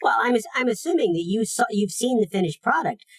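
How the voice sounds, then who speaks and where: A husky-voiced male interviewer with a lively, moving pitch. He questions in a measured way, challenging politely and a little tentatively. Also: dry recording.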